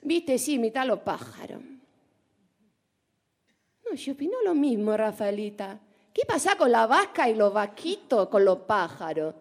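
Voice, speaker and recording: A woman's voice: on the high side, sing-song, unhurried, pauses often, a little mellow, full character voice. A woman in her forties playing a nosy, chatty Argentine woman with a put-on Rioplatense accent, teasing and drawing out her vowels. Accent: Rioplatense Spanish put on. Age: 40s. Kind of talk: comic monologue impression.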